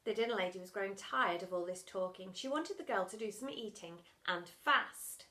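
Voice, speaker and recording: A woman reading a children's storybook aloud like a teacher, dramatic and expressive, punching the sound words. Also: mid-range voice, moderate pace.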